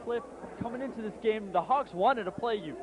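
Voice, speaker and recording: A man offering steady, agreeable color commentary after an amateur basketball championship. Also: high voice, brisk. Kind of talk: amateur league courtside commentary. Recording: noisy outdoor recording.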